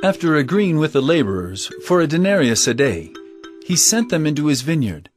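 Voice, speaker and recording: A man playing an authoritative teacher in a Bible audio drama, speaking in a measured, solemn, instructive way. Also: fairly high pitch, big pitch swings, faint music behind. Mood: expressive storytelling. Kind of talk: audio drama scripture storytelling.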